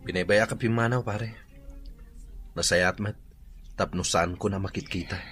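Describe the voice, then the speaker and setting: An actor with an Ilocano accent playing an expressive man in an emotional radio drama. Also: low-pitched, moderate pace, faint music behind. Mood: wistful.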